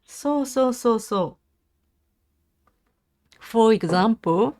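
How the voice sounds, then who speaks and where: A Japanese woman with a Japanese accent, practising language aloud on a casual livestream in a halting, hesitant way. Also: on the high side, animated, darkish tone, no echo. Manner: hesitant, halting English with self-corrections. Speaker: live streamer learning English. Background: computer keyboard typing and clicking.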